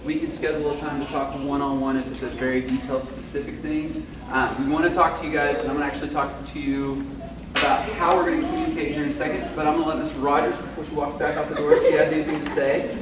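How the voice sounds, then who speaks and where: A man who teaches fifth grade science, speaking loudly and informally to parents at a school meeting, with many fillers. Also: on the high side, flat delivery, fast talker. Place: school classroom or hall. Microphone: far camera microphone. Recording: echoey room, far from the mic, noisy.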